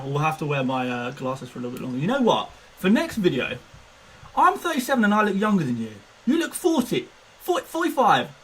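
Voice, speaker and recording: A man's voice: fairly high pitch, a bit bright, breathy edge, rough recording. A man in his twenties chatting casually on a live stream, self-mocking and laughing often. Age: 20s. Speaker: fantasy football streamer.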